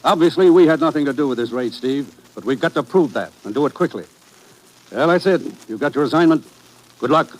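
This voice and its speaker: A man's voice: on the high side, animated, brisk, close to an everyday voice. An American man playing a serious, authoritative commissioner in a 1950s radio drama, briefing with urgency. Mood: grave, urgent. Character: brisk, serious male commissioner briefing his agent. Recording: slightly noisy old radio recording.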